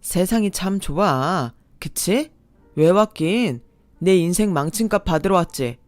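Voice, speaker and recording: A sleazy young man who drags out his taunts in a slow, sneering drawl, as a woman puts him on. The voice is close and dry, with faint music under it. It reads as a storybook villain. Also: lowish voice, animated, dry recording. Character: sleazy scheming young man, mocking ex-lover.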